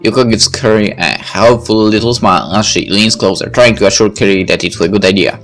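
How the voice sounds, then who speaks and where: A man's voice: lowish voice, brisk, a bit bright. A man reading first-person visual novel narration aloud, with music behind him.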